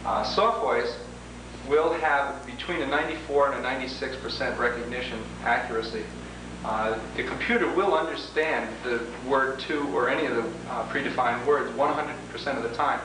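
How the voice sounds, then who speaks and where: A man giving a measured, slightly formal, explanatory demonstration of computer software, as a product demonstrator. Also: medium pitch. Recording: distant, echoey, noisy 1980s demo tape with hum.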